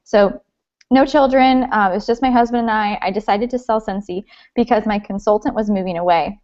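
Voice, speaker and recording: Chatty woman in her late twenties, lo-fi call. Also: mid-range voice. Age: 20s. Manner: friendly, chatty storytelling. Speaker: guest speaker, direct sales leader. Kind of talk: informal training talk. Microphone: video call.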